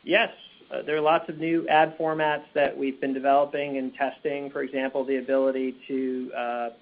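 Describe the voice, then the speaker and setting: A man giving measured corporate answers as a company executive on an earnings call. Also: medium pitch, level tone. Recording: muffled phone conference line.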